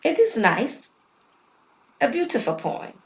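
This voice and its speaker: A woman voicing a gentle, reserved young man, a shy suitor in an audio drama. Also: high voice, very animated, takes it slowly, lo-fi sound, voice pushed up, exaggerated voice. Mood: warm, tentative.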